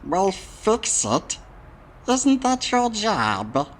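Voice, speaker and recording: A woman's voice: mid-range voice, big pitch swings, drawn-out words, slight background noise. A woman voicing an evasive, smugly dismissive space station authority in a noir adventure game, drawing out words with condescension. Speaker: video game character. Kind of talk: noir point-and-click game voice acting.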